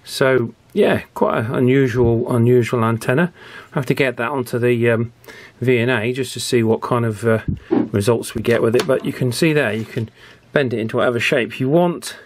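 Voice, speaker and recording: A man's voice: medium pitch, normal speed, few pauses. A man with a British accent giving a casual, unscripted product review, thinking aloud with chatty, drily understated asides. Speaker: YouTube radio reviewer.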